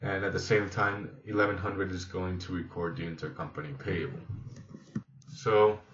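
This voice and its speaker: A man narrating a software training demo, explaining step by step in a halting, instructional way. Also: low voice, steady tone, a little hiss or hum. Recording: echoey room, slightly dull sound.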